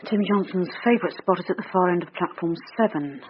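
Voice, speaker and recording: An actress playing a persistent police detective in a radio drama, brisk, probing and dryly sardonic. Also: female voice, fairly low pitch, close to an everyday voice. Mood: insistent, businesslike. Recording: dull sound, faint background noise.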